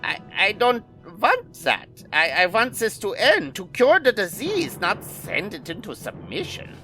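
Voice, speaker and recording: Casting: a theatrical villainous scientist, aggrieved and grandiose, slow-paced with sharp tone, for radio play. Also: male voice, sits high, wildly swooping pitch, soft background music, exaggerated voice. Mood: faltering, troubled. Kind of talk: audio drama acting.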